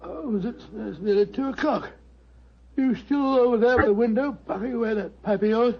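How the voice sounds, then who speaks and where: A muffled old radio mystery carries a bluff older English gentleman. His voice is high for a man and husky, and he blusters and mutters as the puzzled, loyal sidekick. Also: moderate pace. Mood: grumbling, bewildered. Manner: blustering, muttering, repeats himself. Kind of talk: 1940s radio mystery acting.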